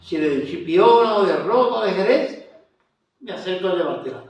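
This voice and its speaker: A man with an Andalusian Spanish accent who hosts an evangelical program, talking at a fairly high pitch in a chatty, affirming way. He sounds a little distant from the mic in a very echoey room. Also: lively intonation, normal speed. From Spain. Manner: warm, chatty, with frequent amens. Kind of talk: evangelical TV interview.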